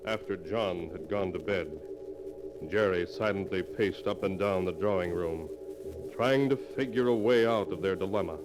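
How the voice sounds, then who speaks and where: A man narrating a mystery story in a solemn, deliberate, dramatic 1940s radio style. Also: sits low, poor audio. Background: music.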